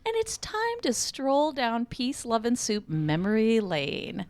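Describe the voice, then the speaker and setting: A woman's voice: fairly high pitch, wildly swooping pitch, takes it slowly. A woman co-hosting a podcast, chatting in a cheerful, talkative way. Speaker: podcast co-host. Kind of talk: casual chat.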